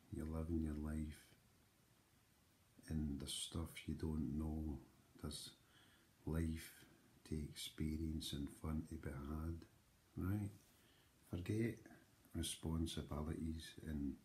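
Slow, very deep-voiced Scottish man in his forties. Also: fairly even intonation, warm tone, a little hiss or hum. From Scotland. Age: 40s. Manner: slow, emphatic, with frequent "right?" asides. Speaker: channel host. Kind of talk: earnest motivational talk to camera. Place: small room indoors. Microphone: webcam or phone close up.

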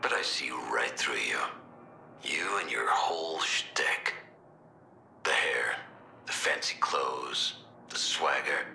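An actor playing a gruff, hostile military officer in a video game, gravelly, menacing and scornful. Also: male voice, a bass voice, slow pace, long pauses, pushed and bright, reverberant, slight background noise, full character voice. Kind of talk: dramatic video game voice acting.

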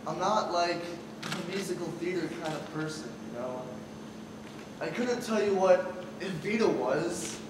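A teenage boy acting a hesitant, awkward high school student in a stage play. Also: a little slow. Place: large school theatre stage, far camera. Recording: distant echoey auditorium, loud noise.

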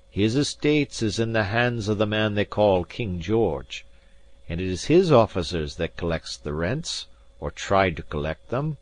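A man voicing a proud, bold exiled Highland gentleman, speaking forcefully in an audiobook. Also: dry recording. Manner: forceful, defiant declamation. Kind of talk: audiobook character voice.

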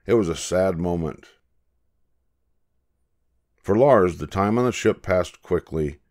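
An older man narrating a children's picture book in a measured, expressive storytelling manner. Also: low-pitched. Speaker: storybook reader.

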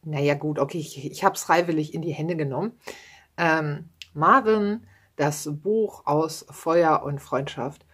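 Book vlogger, woman in her thirties, unhurried and rambling, lots of "ne?" and "ähm". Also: deep voice, slightly rough. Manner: chatty, many fillers and tag questions, self-deprecating laughs. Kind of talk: casual book chat. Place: home room with bookshelves, indoors.

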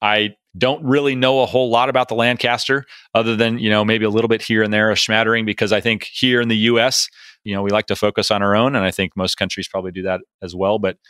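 A man's voice: lowish voice, little pitch movement, quick pace, fairly bright tone, clear, resonant tone, no echo, studio quality. A man cohosting an aviation podcast, chatty and upbeat, with a few jokes between topics. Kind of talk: podcast monologue and interview.

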